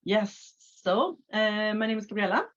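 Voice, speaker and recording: A woman's voice: mid-range voice, moderate pace. Swedish-accented woman on a muffled call. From Sweden. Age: 30s. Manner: relaxed, many filler uhs and restarts. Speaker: guest. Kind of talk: casual interview talk. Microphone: video call. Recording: slightly muffled video call audio.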